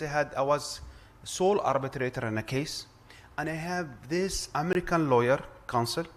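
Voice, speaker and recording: A man with an Arabic accent addresses a conference round table. His voice sits a little high for a man. He speaks earnestly, often restarting his sentences and repeating words. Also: fairly bright tone. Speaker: conference speaker, arbitration lawyer.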